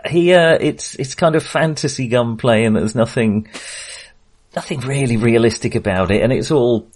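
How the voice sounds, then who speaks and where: A man's voice: medium pitch, normal speed, darkish tone. A man in a casual film discussion, thinking aloud in a hesitant way and correcting himself as he goes. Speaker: film podcast host.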